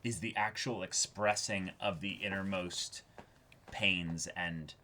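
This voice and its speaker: A man co-hosting a reaction show, talking casually in an earnest, reflective way with halting, repeated words. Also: sits low, steady tone, pushed and bright, slight background noise. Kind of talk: casual discussion of an anime episode.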